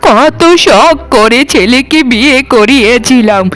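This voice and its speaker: A woman's voice: on the high side, a little slow, fairly bright tone. A woman voicing a bossy, scheming elderly mother-in-law in a melodramatic cartoon. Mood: plaintive. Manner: scolding, theatrical.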